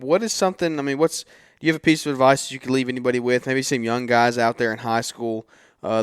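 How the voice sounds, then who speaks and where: A man's voice: medium pitch, smooth, dry recording, clean studio sound. A man hosting a casual interview podcast, speaking in an informal, effusive and encouraging way. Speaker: podcast host.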